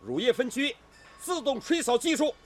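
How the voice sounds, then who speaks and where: An actor playing an authoritative, emphatic steel-factory director in a Chinese radio drama. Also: male voice, very high voice, very animated, moderate pace, over loud music. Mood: proclaiming.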